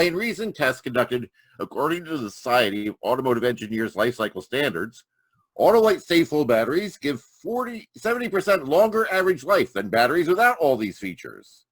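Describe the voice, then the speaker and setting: A man playing an eager, folksy 1940s radio pitchman, delivering emphatic salesman's patter. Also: slightly rough, dry recording, rough recording.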